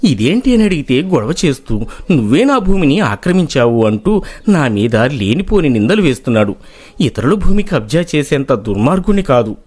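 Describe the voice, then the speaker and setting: A man's voice: fairly high pitch, big pitch swings, moderate pace, darkish tone, slightly rough. A man voicing an honest, troubled village farmer in a moral story, humble and plaintive. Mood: aggrieved, pleading. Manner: plaintive, respectful. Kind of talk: moral-story character acting.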